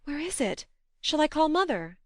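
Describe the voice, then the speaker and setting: A woman voicing a blunt, affectionate young woman, a bossy elder sister in a storybook, with a brisk manner. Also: high-pitched, sing-song, dry recording, clean studio sound, pitched above the performer's own voice. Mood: tender concern.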